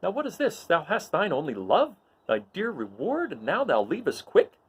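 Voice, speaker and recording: Man acting out young rebel farmboy hero, high pitched, swooping, earnest, declamatory Shakespearean storybook reading. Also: huge pitch swings, normal speed, slightly rough, exaggerated voice. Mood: reproachful.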